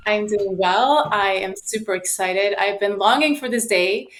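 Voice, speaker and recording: A woman in her late thirties speaks as a podcast guest. Her voice sits at a middle pitch. She tells personal stories in an animated, emphatic manner, acting out bits of dialogue. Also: moderate pace, poor audio. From United States and Sweden. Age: late 30s. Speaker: podcast guest, sales professional. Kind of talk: personal storytelling in an interview.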